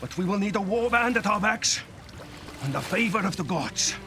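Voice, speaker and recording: High-voiced, loyal Viking guardian. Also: male voice, animated, moderate pace, sharp tone, slight background noise, full character voice. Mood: resolute, reassuring. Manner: earnest, resolute. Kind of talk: cinematic video game acting.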